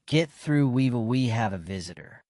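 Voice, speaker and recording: A man's voice: medium pitch, lively intonation, normal speed, a little mellow, no room sound, clean studio sound, lightly acted. A man playing a hospitable, plain-spoken older farmer father in a radio drama. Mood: bluff, welcoming.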